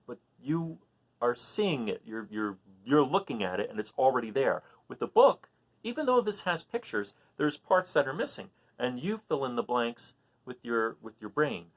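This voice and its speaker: Man, children's storytime host, lively swinging pitch, expressive, casual, simple explanations. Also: normal speed, poor audio. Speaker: children's read-aloud host. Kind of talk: animated storybook reading and casual chat to children.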